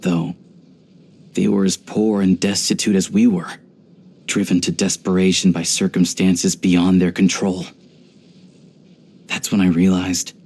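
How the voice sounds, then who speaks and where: A man voicing a video game character, an earnest, pragmatic young hunter and rifleman who talks in a measured, reflective way. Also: low voice, darkish tone, breathy edge, exaggerated voice.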